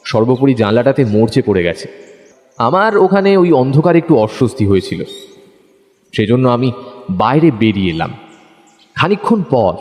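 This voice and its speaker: A man's voice: medium pitch, darkish tone, lightly acted. A man playing a detective's observant, curious companion, telling the story in the first person as a dramatised audio narration. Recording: long hall reverb, faint background noise.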